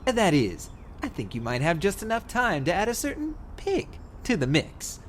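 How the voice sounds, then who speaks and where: Man playing a cheeky, animated bootlegger cat, noisy backdrop. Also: high voice, normal speed. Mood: nervous scheming. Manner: glib, theatrical, sarcastic. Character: cheeky, chatty young male bootlegger cat. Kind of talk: comic dub character acting.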